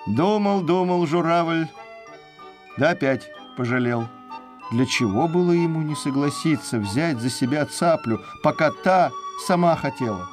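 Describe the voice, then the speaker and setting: A man telling a scripted folk tale in an expressive, theatrical, folksy storytelling manner. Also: on the high side, a little slow, music in the background.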